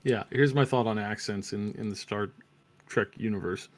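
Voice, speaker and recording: A man co-hosting a casual review discussion, reasoning out his opinions haltingly and repeating words as he goes. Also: lowish voice. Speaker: co-host of a review show.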